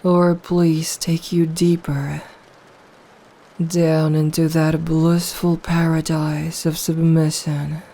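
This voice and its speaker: Very slow, hushed seductive vampire woman, acted out by a woman. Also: low-pitched, little pitch movement, heavily acted. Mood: teasing, possessive. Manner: slow, hushed, teasing, coaxing. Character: seductive, possessive female vampire hypnotizing her victim. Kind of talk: intimate ASMR audio roleplay.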